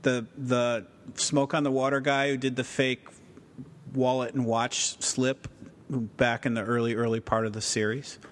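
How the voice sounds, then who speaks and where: A man co-hosting a casual podcast, with a smooth, soothing voice and a laid-back, dryly humorous manner. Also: level tone, a little slow. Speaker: podcast co-host. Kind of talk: casual podcast chat about a TV series. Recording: clear low rumbling background noise.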